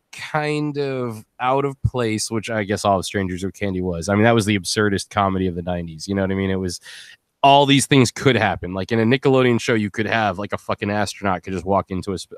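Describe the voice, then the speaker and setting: A man's voice: on the low side, brisk, smooth, no room sound, clean studio sound. A man on a live stream panel, chatting casually in a slangy, joking, teasing way with plenty of swearing. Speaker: live stream panelist discussing an audio drama.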